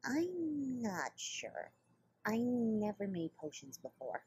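A woman's voice: medium pitch, big pitch swings, slow, darkish tone, breathy edge, exaggerated voice. A woman voicing an earnest, knowledgeable young pony mare in a fan cartoon. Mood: thoughtful. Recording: faint noise, played-back web video.

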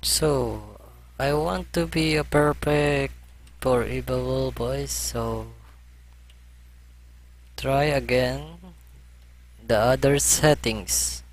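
A man narrating a screen-recorded music software tutorial, halting as he tries settings. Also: medium pitch. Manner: halting, hesitant commentary as he clicks through settings.

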